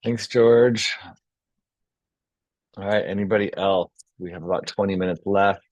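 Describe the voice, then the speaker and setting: A man's voice: deep voice, steady tone, unhurried, no echo. A man informally facilitating a group session as its moderator, inviting others to share. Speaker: workshop moderator. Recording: dry, dull lo-fi audio.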